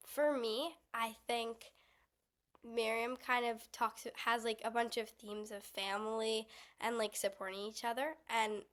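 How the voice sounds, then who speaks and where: A woman's voice: a little high, steady tone, a little slow, fairly bright tone. A young woman chatting casually as an actor in an interview, often saying "like". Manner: chatty, frequent "like".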